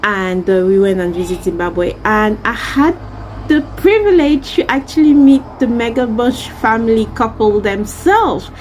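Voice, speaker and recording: A woman's voice: on the high side, lively intonation, a little slow, a little mellow, a little hiss or hum, poor audio. A woman from Central Africa chatting casually as a vlogger, effusive and emphatic, often repeating words for emphasis. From Central Africa, Congolese and Cameroonian. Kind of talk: casual chat to camera.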